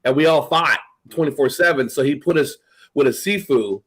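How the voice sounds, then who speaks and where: A middle-aged man hosting a podcast in casual chat, animated, swearing and laughing often. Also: a little high, normal speed, husky. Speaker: podcast host.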